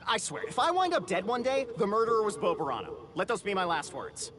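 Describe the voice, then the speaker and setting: A sardonic young rival from a video game. His voice sits a bit high and carries dry, cutting disdain. Music is clear in the background. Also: very animated, fast. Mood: exasperated.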